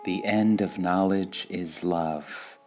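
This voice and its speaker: A man reading spiritual sayings aloud in a calm, measured way, pausing between phrases. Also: low voice, slight background noise. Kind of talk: slow meditative reading of quotations.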